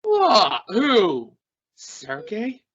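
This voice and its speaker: A man's voice: sits high, huge pitch swings, very slow, fairly bright tone, slightly rough, muffled recording, full character voice. A man playing an exasperated, suspicious space crewman in an amateur radio drama, questioning emphatically. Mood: puzzled, probing. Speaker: amateur radio play actor.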